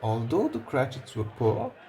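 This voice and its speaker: A man reading a picture book aloud to children, pausing to explain things and put questions to them. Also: mid-range voice, big pitch swings, noisy background. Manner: pauses to ask and explain to young listeners. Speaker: storybook reader. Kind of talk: read-aloud narration for children.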